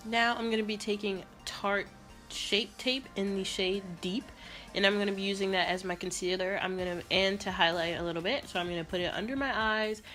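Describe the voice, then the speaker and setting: A young woman vlogger with a raspy voice and a sore throat, chatting casually through a makeup tutorial. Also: medium pitch, a little fast, soft background music. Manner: chatty, rambling, relaxed. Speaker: beauty vlogger.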